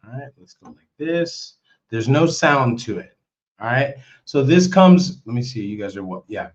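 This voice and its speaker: A young man hosting a casual live stream, hoarse with a worn-out voice, chatty and excitable as he repeats himself. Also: medium pitch, moderate pace. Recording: slightly dull lo-fi stream audio.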